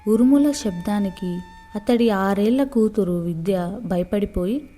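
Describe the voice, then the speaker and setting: A woman's voice: mid-range voice, a little slow, warm tone. A woman reading a scripted moral story for children as a storyteller.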